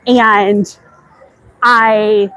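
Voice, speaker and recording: A woman's voice: medium pitch, little pitch movement, very slow, fairly bright tone, clean tone, dry recording, rough recording. An American woman hosting a casual, chatty walk-and-talk livestream, conversational and speaking directly to her viewers. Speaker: livestream host. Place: outdoors walking through a busy theme park. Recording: outdoor ambient noise. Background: busy theme park ambience.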